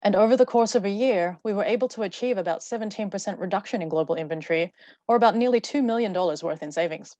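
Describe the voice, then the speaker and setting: Brisk woman giving a rehearsed talk. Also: mid-range voice, dark tone, dry recording, dull audio. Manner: fluent, rehearsed. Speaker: webinar speaker. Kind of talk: prepared talk.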